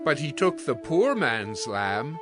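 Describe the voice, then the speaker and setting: An actor playing a solemn, stern prophet who speaks for God in a deliberate, dramatic scripture reading. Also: male voice, medium pitch, very animated, music in the background. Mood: grave storytelling.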